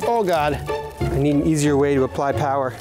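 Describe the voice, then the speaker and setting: A man, around forties, talking casually as host of a build-and-test vlog, in an animated, exclaiming way. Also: on the high side, brisk, over music. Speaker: host and inventor.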